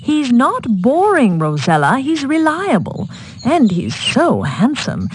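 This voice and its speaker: An actress playing a fussy, matronly queen mother in an animated game, speaking in an insistent manner. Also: high-pitched, slow pace, noisy background, full character voice. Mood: coaxing. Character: fussy, coaxing queen mother pushing marriage. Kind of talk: animated game cutscene acting. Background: loud music.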